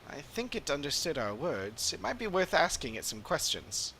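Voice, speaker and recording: A man voicing earnest, analytical fantasy adventurers from a video game in measured, formal phrasing. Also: fairly high pitch, bright, forceful tone, slight background noise, heavily acted. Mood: alert, concerned. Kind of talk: dramatic read-aloud of game dialogue.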